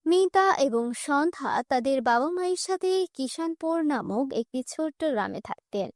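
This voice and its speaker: Lady narrating a kids cartoon story, high voice, dry close sound. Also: expressive, moderate pace, dry recording. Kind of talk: scripted children's story narration.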